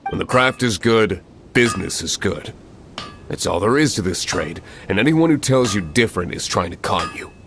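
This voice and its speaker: A gruff, low-voiced blacksmith. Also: male voice, lively intonation, moderate pace, noisy background. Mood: grudging. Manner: curt, grumbling, sighing. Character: gruff, curt, impatient male blacksmith. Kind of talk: video game voice acting.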